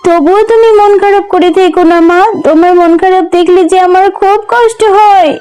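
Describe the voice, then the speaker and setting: A woman's voice: extremely high pitch, moderate pace, dark tone, soft background music. A woman voicing a sweet, eager little girl character in a children's cartoon, pleading and earnest in a childlike way. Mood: consoling. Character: Tumpa, sweet poor little fox girl, eager and obedient.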